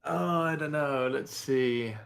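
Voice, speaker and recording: A man's voice: on the high side, slow, gravelly, fairly bright tone. A man speaking as a guest writer in a casual discussion, thinking aloud and posing rhetorical questions. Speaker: guest writer and content creator.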